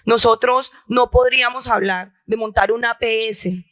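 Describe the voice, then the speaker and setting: A woman's voice: fairly high pitch, steady tone, measured pace, a bit bright. A woman from La Guajira, speaking passionately and with emphatic repetition on a conference panel. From La Guajira, Colombia. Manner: impassioned, emphatic, with rhetorical repetition. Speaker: health insurer leader on a panel. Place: conference hall.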